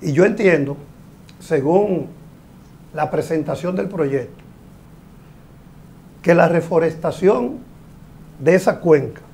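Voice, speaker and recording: A man's voice: a little high, expressive, slow pace, creaky, long pauses, a little mellow, slight background noise. A middle-aged man, a hydraulic engineer explaining a mining project as a guest in a TV studio interview, speaking emphatically with a lapel mic. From Dominican Republic. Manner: explanatory, emphatic, gestures with hands.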